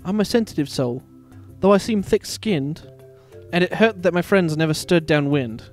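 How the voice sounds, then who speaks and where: A man's voice: fairly high pitch, animated, moderate pace, a little mellow, voice pushed up, close to an everyday voice. A proud, kindly warthog, acted out by a man, speaking earnestly in a raised, singsong voice. He sounds good-natured and sensitive, with music underneath. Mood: wistful singing. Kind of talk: dramatic fan fiction reading.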